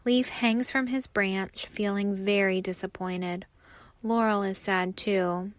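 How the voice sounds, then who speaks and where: Slow, expressive woman reading a children's story. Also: mid-range voice, no room sound. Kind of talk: scripted read-aloud narration for children.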